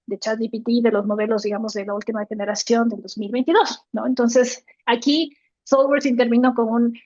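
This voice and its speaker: A woman's voice: fairly high pitch, fairly even intonation, brisk, a little mellow, no echo, highs cut off. A woman co-hosting a tech podcast, explaining things step by step and often checking in with short tag questions. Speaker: podcast co-host.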